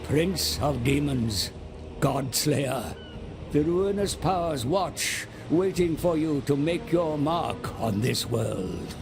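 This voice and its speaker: A scheming male advisor, offers dark counsel in a fantasy game cinematic. He speaks slowly and formally, persuasive and full of portent, over clear background music. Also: a little high, expressive, heavily acted. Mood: solemn counsel. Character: scheming male guide and advisor to a demon prince. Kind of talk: dramatic game cinematic narration. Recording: music behind the voice.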